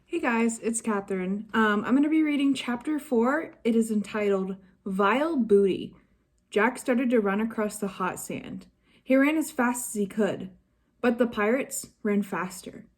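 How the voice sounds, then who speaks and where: A woman reading a children's chapter book aloud in a slow, even storytelling manner. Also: a little high. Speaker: staff reader.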